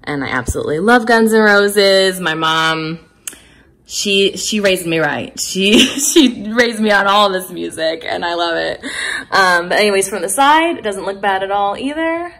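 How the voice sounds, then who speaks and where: A woman's voice: mid-range voice, animated, a little slow, fairly bright tone. A woman in her thirties chatting casually and enthusiastically as a vlogger, recorded indoors at home on a handheld phone. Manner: chatty, informal, upbeat enthusiasm. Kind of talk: casual vlog chat, clothing try-on commentary. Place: furnished rooms at home, indoors. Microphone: phone held at arm's length.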